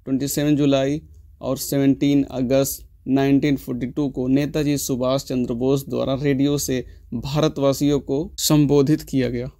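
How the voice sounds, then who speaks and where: A man's voice: fairly high pitch, mellow. A man reading a scripted explainer as a host, in an informational way, mixing English terms into his speech. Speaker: host of a tech history explainer channel.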